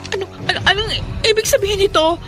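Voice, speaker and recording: An actress with a Filipino accent playing a polite, timid young daughter in a radio drama. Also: female voice, extremely high pitch, quick pace, over music. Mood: frightened.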